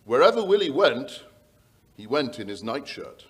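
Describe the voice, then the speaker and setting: A man's voice: medium pitch, very animated, moderate pace, close to an everyday voice. A middle-aged man playing a worried, polite aristocratic landowner, telling his tale hesitantly in old-time radio style. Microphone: shared stage microphone.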